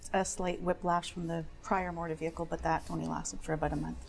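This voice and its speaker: A woman's voice: low-pitched, level tone, fast talker, vocal fry, darkish tone. A woman playing a plain-spoken accident claimant, answering questions as a witness in careful, understated, slightly hesitant statements. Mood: careful, subdued. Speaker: witness in a dramatized discovery. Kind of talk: testimony, short factual answers.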